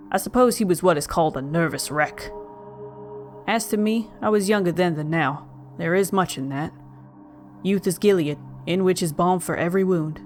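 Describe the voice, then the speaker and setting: An actor playing a haunted young gentleman, narrating a gothic tale in formal, melancholy, literary speech. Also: medium pitch, close to an everyday voice. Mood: wistful, mournful. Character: young man Joel Hetman Jr, mournful, haunted, genteel narrator. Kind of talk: dramatic first-person gothic audio narration.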